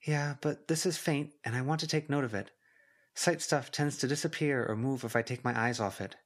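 A man voicing an earnest, careful teenage girl with a gently firm way of talking in an audiobook. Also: medium pitch, normal speed, voice pushed up, close to an everyday voice. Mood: earnest, practical.

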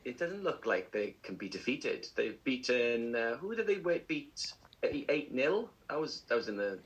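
A man co-hosting a casual podcast, chatty and animated, laughing often as he tells stories. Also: mid-range voice, a little slow, muffled recording. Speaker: podcast co-host. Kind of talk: casual chat.

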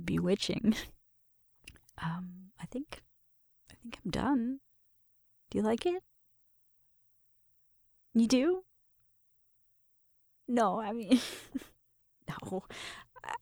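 A woman acting a breathy, whispering, flirtatious roommate. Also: mid-range voice, darkish tone, no room sound, clean studio sound, heavily acted. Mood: pleased, teasing, then shy, tender. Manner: hesitant, halting, tender. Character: shy, flirtatious female roommate giving an affectionate Halloween makeover. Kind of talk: intimate ASMR roleplay addressed to the listener.

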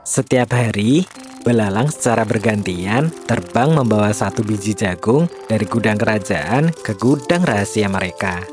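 A man's voice: fairly low pitch, lively intonation, moderate pace, faint music behind, natural voice. A man playing a clever, polite young captive who tells stories earnestly in a children's storybook drama. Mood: storytelling.

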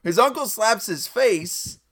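A man's voice: sits high, expressive, slow pace, a bit bright, a little hiss or hum. A man co-hosting a casual film chat, giving blunt, dry criticism. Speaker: podcast co-host reviewing a film.

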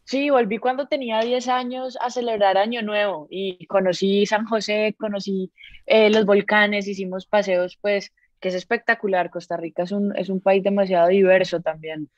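A young woman with a Colombian accent speaks as an interview guest, relaxed and upbeat. Her voice sits in a middle pitch and comes across slightly dull, as if over a call. The sound is dry. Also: moderate pace, no echo. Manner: relaxed and upbeat, with fillers like "pues". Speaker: musician guest. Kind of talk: casual interview.

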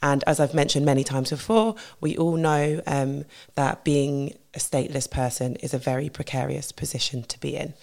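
A woman with a low, husky voice hosts her podcast in a loose, chatty way. She fills pauses with "um" and stops to fix her slips as she talks through a grave subject. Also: a little mellow. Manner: chatty with fillers and self-corrections. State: husky voice from a tiring weekend. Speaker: podcast host. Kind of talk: casual educational podcast talk.

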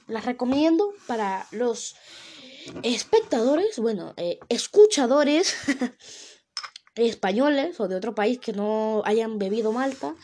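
A woman's voice: on the high side, mellow. A young woman from Venezuela with a Venezuelan accent, chatting casually on her own and rambling with many fillers and self-corrections. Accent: Venezuelan Spanish. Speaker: vlogger. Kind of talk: casual solo talk to camera.

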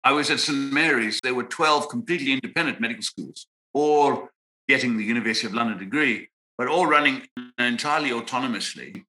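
A man in his sixties from Zimbabwe, a psychiatrist answering interview questions in a measured, reflective way with frequent pauses and restarts. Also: mid-range voice, bright, forceful tone, rough recording. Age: 60s. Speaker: guest psychiatrist.

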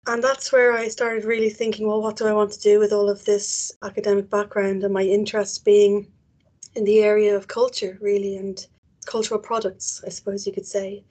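A woman's voice: mid-range voice, a little mellow. A woman with a Dublin Irish accent, an archivist answering interview questions in a chatty way, often restarting her words. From Ireland.

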